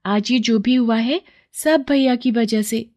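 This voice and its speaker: A woman acts out a poor young girl in a children's moral tale. The pitch is raised well up. She sounds earnest, sincere and full of affection. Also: slow, a little mellow. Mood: fond chiding. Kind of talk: children's moral story dramatization.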